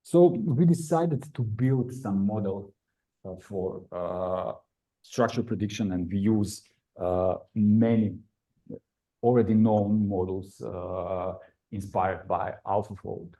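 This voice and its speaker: A man's voice: fairly low pitch, slow, warm tone. A middle-aged man from Croatia, giving an academic seminar talk with many "uh" fillers. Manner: non-native phrasing, frequent "uh" fillers. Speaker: invited speaker, researcher. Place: lecture room.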